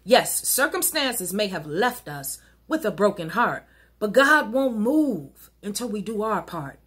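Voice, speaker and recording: Animated, slightly husky woman reciting rhyming poetry. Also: medium pitch, a little slow. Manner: earnest, rhythmic, exhorting. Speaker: minister and poet. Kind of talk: recited rhyming spoken-word sermon poem. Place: wood-paneled living room at home.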